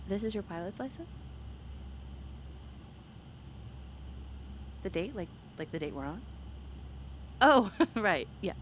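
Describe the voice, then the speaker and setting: A woman playing an excitable, playful young woman on a date, in a conversational, halting roleplay style with teasing, gushing delivery. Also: medium pitch, slight background noise, lightly acted, rough recording. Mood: curious, teasing. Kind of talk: ASMR roleplay acting, one side of a dialogue.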